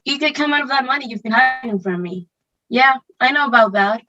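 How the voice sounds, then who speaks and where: A boorish, bullying stepfather acted by a woman in a high-pitched voice. The delivery is grumbling, demanding and contemptuous, part of a theatre table read with dull, lo-fi sound. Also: fairly quick, lightly acted. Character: selfish, boorish, bullying stepfather, grown man.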